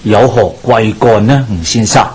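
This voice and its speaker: A man with a Hong Kong Cantonese accent playing a calm, authoritative Taoist exorcist master in a radio drama, speaking in a measured, knowing, formal way. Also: on the low side, husky.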